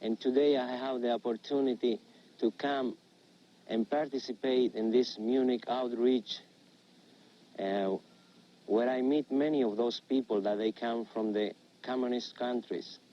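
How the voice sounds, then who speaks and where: A man's voice: mid-range voice, fairly even intonation, unhurried. A man whose first language is Russian, giving an earnest personal testimony in simple phrasing, heard through dull, lo-fi old film sound. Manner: earnest, simple phrasing with small grammar slips. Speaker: interviewee in archive documentary.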